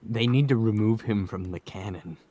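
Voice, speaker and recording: A man's voice: fairly low pitch, moderate pace, a crowd in the background. A man co-hosting a casual group podcast, jokey and self-deprecating, given to exclamations. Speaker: podcast co-host.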